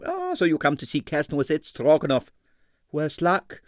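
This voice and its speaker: A man's voice: fairly high pitch, wildly swooping pitch, normal speed, dry recording, clean studio sound, pitched above the performer's own voice. A man voicing an affable, boastful foreign ballet impresario who talks expansively in broken English, for an audiobook. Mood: astounded, coaxing. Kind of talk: audiobook character voice.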